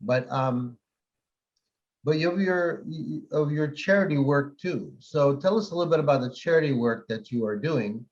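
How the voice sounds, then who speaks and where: A man from Morocco hosting a casual interview, talking quickly and repeating words as he searches for them. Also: medium pitch. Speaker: host. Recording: dull lo-fi sound.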